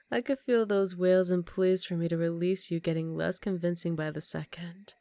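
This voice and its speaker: Low, teasing vampire countess acted by a woman. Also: darkish tone, no echo. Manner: slow, teasing, condescending, with breathy half-whispers. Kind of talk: ASMR roleplay.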